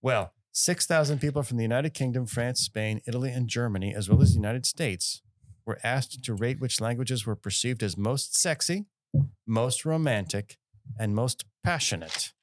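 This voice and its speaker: A man's voice: medium pitch, normal speed, dry recording. A man co-hosting a casual comedy podcast, reading news stories aloud between jokes. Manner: reads news items aloud, breaks into goofy mock-Italian voices.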